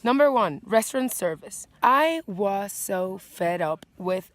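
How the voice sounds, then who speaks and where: A woman's voice: medium pitch, animated, slow, no room sound. A woman from Venezuela with a Venezuelan Spanish accent, chatting casually and emphatically as a vlog host working through a list. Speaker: vlogger and channel host.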